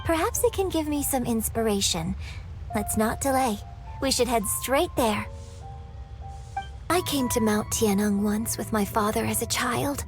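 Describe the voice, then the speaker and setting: Poised, high-voiced opera storyteller. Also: female voice, expressive, faint music behind. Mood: refined, reflective. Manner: refined, lyrical, measured storytelling. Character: poised, eloquent young woman opera performer and storyteller. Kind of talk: video game voice acting, theatrical storytelling.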